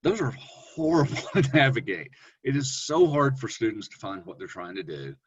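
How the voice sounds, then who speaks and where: A man presenting a webinar on online teaching, talking in a relaxed, conversational way with fillers and self-corrections. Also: normal speed, slight background noise. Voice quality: deep voice. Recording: dull lo-fi webinar audio.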